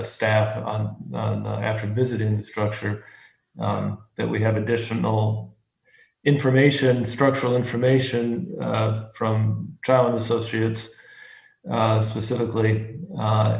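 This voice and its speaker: Man chairing a hearing, gravelly, flat delivery, halting with fillers, echoey room. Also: lowish voice. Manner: halting, many fillers and restarts. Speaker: commission chair.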